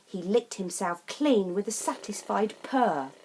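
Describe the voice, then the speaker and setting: A woman reads a rhyming children's picture book in a theatrical, deliberate and emphatic way. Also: mid-range voice, expressive, darkish tone, slight background noise, poor audio. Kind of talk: expressive rhyming storybook narration.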